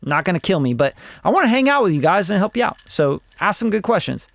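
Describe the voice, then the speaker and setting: Man, forties, rambling livestream talk, blunt and profane, slightly high voice. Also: big pitch swings, quick pace, vocal fry, a bit bright, no echo, clean studio sound. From Costa Rica. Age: 40s. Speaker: music producer reviewing songs live. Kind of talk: casual livestream chat.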